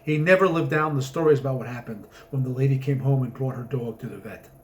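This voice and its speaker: A man's voice: medium pitch, fast talker. A man reading a story aloud as its author, narrating with theatrical, emphatic comic drama.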